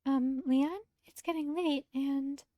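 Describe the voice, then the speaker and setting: A woman voicing a gentle, soft-hearted young woman from a visual novel who speaks politely and formally. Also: high voice, fairly even intonation, slow, dry recording, clean studio sound, pitched above the performer's own voice. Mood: gentle, hesitant.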